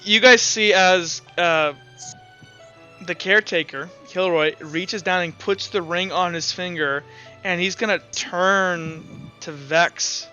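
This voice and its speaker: Male game master, forceful bright tone, improvising casually over background music, lo-fi sound. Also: high voice. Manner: halting, informal, improvised narration. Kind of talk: narrating a tabletop roleplaying game, casual. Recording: dull lo-fi audio, music underneath.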